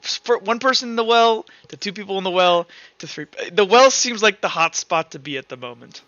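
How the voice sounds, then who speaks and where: Bright, higher-pitched man with a stammering delivery. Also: lively intonation, a little fast, no echo, dull audio. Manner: stammers, repeating words as he goes. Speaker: game master running an online tabletop roleplaying session. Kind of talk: casual game chat, hosting. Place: online voice call.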